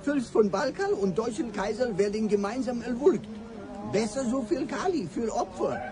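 A man's voice: very high voice, lively intonation, normal speed. A servile radio-play character. His clipped, broken phrases swoop up and down deferentially, over a busy crowd background. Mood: deferential, chatty. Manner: clipped broken grammar, deferential third-person self-reference. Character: servile Chinese man speaking broken German.

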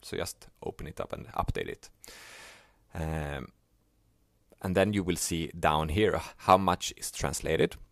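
A man whose first language is Swedish, explaining a software task step by step in a plain, unhurried way. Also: extremely low pitch, dry recording, clean studio sound. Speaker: software tutorial maker. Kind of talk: screen-recorded software tutorial.

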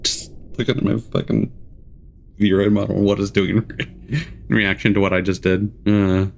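A man's voice: fairly low pitch, level tone, unhurried, vocal fry, slight background noise. A man streamer giving casual, blunt live reactions. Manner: blunt, sweary. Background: trailer music.

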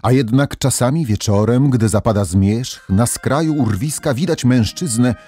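A man narrating a legend as a scripted audio tale, in a measured, dramatic storytelling manner. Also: mid-range voice, dark tone, husky, soft background music. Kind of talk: scripted fairy-tale narration with musical backing.

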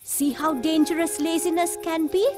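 A woman voicing a shrewd, calm, gently scolding wife and mother in a children's cartoon, with a knowing, sing-song manner. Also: extremely high pitch, normal speed, music in the background. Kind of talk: animated children's story acting.

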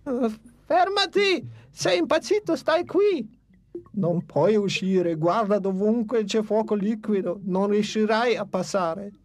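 A woman's voice: medium pitch, slow, a little mellow, no echo. A woman voicing a young, cautious and fretful flying dinosaur in a dubbed cartoon. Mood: anxious. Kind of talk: Italian cartoon dub.

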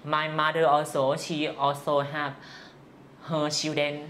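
An interview guest from northeast Thailand with a Thai accent, giving personal answers in halting, simple non-native English. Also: medium pitch, monotone, fairly bright tone, slight background noise.

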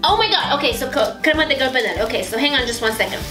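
A bubbly woman gushes over beauty products in a home vlog. Her voice sits fairly high and carries a roomy echo. A music bed plays underneath. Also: animated, rapid-fire. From Romania. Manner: bubbly and gushing, with squeals. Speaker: beauty vlogger. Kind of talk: unboxing haul vlog. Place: small bright indoor home studio.

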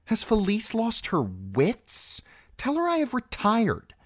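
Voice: mid-range voice, sing-song, slow, a little mellow, no room sound, voice pushed up. A narrator voicing a proud, impatient, scheming queen in an audiobook, with an imperious, cutting and scornful manner. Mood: snappish.

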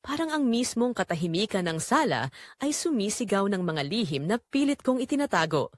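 Filipina lady narrating a drama story, first person, lots of pitch swings, really dry close sound. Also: big pitch swings, normal speed, no echo.

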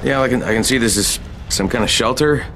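A man's voice: mid-range voice, a little fast, lightly acted. An actor playing a guarded male newcomer among survivors in a video game, hesitant and defensive. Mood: confused, guarded. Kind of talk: naturalistic video game acting. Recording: clear background ambience noise.

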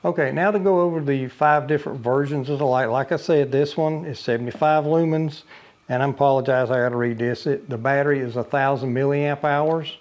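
A man's voice: mid-range voice, fairly even intonation, brisk, darkish tone. An older man casually demonstrating a camping lantern in his living room, talking plainly with frequent uhs and restarts. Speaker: product reviewer. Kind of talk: casual product demo. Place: living room with a brick wall, indoors.

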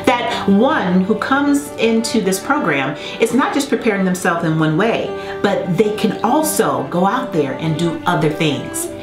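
A woman in her forties, a program coordinator, speaking to camera in an office with a lively, persuasive manner and lively hand gestures. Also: mid-range voice. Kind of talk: promotional address to camera. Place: office with bookshelves, indoors. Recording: roomy office with music behind.

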